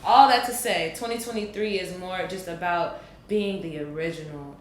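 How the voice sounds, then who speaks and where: Low-pitched woman reflecting in an echoey room. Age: 20s. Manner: thoughtful, slow and deliberate with emphatic bursts. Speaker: podcast guest. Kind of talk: reflective casual conversation. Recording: echoey room with faint noise.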